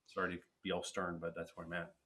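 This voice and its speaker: A man's voice: low voice, monotone, quick pace, pushed and bright, husky. A man answering viewer questions on speech and swallowing, frank and direct, with frequent restarts. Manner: frank, advice-giving, with restarts. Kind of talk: casual webinar Q&A.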